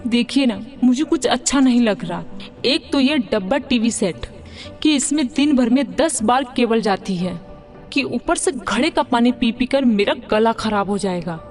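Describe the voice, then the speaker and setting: Spoiled high-voiced young city bride, acted by a woman. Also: animated, unhurried, a little mellow, soft background music. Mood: whiny complaining. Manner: whiny, demanding, mixes English words into Hindi. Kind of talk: Hindi cartoon moral story dialogue.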